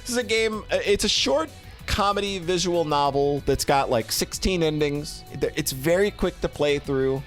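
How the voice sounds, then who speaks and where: A man streaming game reviews in a casual, rambling, expressive and effusive way, talking to a live chat and swearing casually. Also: on the high side, moderate pace, faint music behind.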